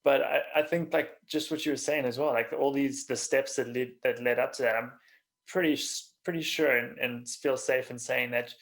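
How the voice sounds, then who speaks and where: A man hosts a relaxed podcast conversation in a creaky, mid-pitched voice. He thinks aloud informally, feeling his way toward each point in halting phrases. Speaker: podcast host. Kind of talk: casual interview conversation.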